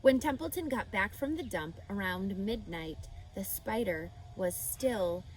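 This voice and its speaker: A woman's voice: medium pitch, animated, unhurried, slight background noise. A woman reading a children's book aloud expressively, now and then speaking to the listener. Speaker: storyteller.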